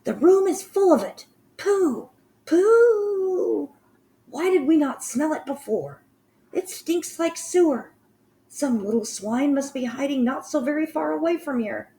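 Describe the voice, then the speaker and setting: A woman voicing a crowd of female witches shouting in disgust. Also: high voice, expressive, measured pace, voice pushed up.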